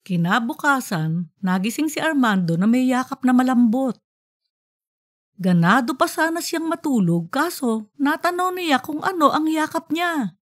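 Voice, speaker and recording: Animated, high-voiced woman narrator. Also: a little slow, no echo, studio quality. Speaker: audiobook narrator. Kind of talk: expressive scripted storytelling.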